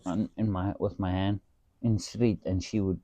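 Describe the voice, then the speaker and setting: A podcast host from South Africa with an Afrikaans accent, telling stories in a casual chat, animated and swearing, often saying "dude". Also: male voice, sits low, moderate pace. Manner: animated, swearing storyteller who says "dude" a lot.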